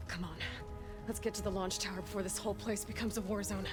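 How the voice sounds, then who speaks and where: A determined young female warrior acted by a woman in a video game. She speaks tersely and resolutely at a middling pitch, as the leader of her team, with music clearly behind her. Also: fairly even intonation, fast, natural voice. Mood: urgent, resolute. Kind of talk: video game cutscene acting. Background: dramatic game score.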